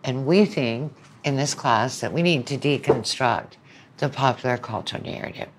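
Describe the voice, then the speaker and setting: A woman's voice: rumbling low, normal speed, background noise. A woman lecturer speaking as a podcast guest, explaining things in a conversational way.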